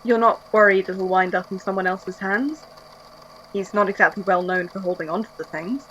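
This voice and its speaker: A woman's voice: mid-range voice, moderate pace, fairly bright tone, slight background noise, natural voice, poor audio. A woman playing a wary, politely reserved archivist in an audio drama, speaking tersely and hesitantly. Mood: guarded.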